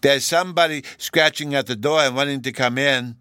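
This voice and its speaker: A man's voice: mid-range voice, brisk, pushed and bright, clear, resonant tone, dry recording, clean studio sound, pitched above the performer's own voice, close to an everyday voice. A man voicing an earnest, stubborn small boy who talks in childish grammar with babyish mispronunciations.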